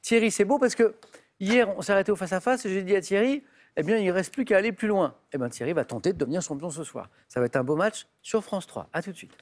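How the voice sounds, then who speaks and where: Quiz host, male, upbeat and chatty, pitched a little high, punchy clue reading. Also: animated, quick pace, a little hiss or hum. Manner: upbeat, chatty, reads clues with emphasis. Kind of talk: lively game show hosting. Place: television studio.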